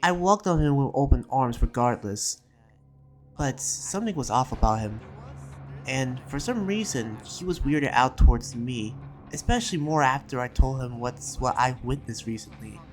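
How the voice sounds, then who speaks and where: A man voicing an earnest demon slayer who reads out his research journal in a reflective, halting way, as a dramatic roleplay monologue. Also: medium pitch, lively intonation, slight background noise, lightly acted. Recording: music behind the voice.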